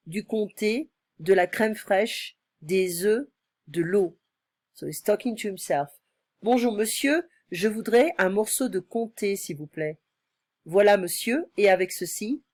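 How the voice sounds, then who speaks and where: A woman tutoring one-on-one, her voice slightly hoarse from illness, explaining patiently and repeating phrases. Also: medium pitch, a little mellow, no echo, clean studio sound. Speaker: French tutor. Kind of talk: one-on-one online language lesson.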